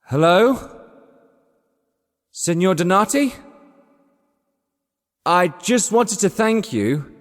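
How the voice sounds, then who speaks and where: A man's voice: sits high, lively intonation, drawn-out words, pauses often, in a large hall, voice pushed up, full character voice. A man voicing an indignant, weakened, scheming former ruler with an exclamatory, put-upon manner in a dramatised audiobook. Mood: tentative, calling out.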